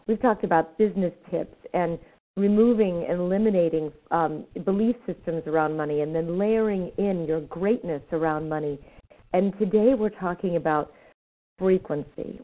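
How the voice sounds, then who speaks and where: A woman hosting a spiritual talk show, effusive and a little rambling as she interviews a guest. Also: fairly low pitch. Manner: warm, effusive, slightly rambling. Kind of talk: radio interview. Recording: thin phone-like line.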